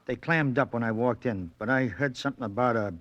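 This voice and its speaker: An actor playing a wary, hard-boiled boxing manager in an old radio drama, blunt and guarded, with slangy American speech. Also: male voice, mid-range voice, a little fast, muffled recording, lightly acted. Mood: guarded, uneasy.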